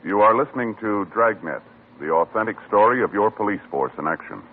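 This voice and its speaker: A man announcing a 1950s radio show in a smooth, persuasive style. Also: fairly low pitch, lively intonation, fairly quick, noisy background. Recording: tinny old radio broadcast.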